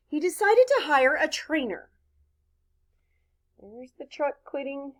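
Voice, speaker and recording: A woman reading a children's story aloud expressively, pausing to chat with her listeners. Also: a little high. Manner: expressive, pauses to point things out and ask questions. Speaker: storybook reader.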